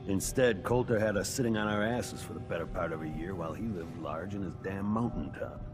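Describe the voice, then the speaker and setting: An actor playing a gruff, disdainful gangster in a video game. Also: male voice, deep voice, brisk, natural voice. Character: gruff, disdainful male gangster, leader's brother. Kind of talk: video game dialogue acting.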